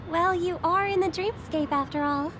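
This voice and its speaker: An actress playing a kind, gracious young woman in a video game, speaking gently and soothingly. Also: extremely high pitch, noisy background.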